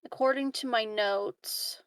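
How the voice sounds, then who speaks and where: A woman's voice: on the high side, takes it slowly, clear, resonant tone, no room sound. A woman talking casually and hesitantly as a player in a tabletop game.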